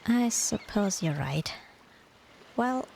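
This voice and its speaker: A woman playing a tender, nostalgic former mermaid and devoted wife in a soft, unhurried, half-whispered ASMR roleplay. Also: mid-range voice, lively intonation, vocal fry, slight background noise, low-quality recording. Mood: hesitant, wistful. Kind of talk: ASMR romantic roleplay, softly spoken.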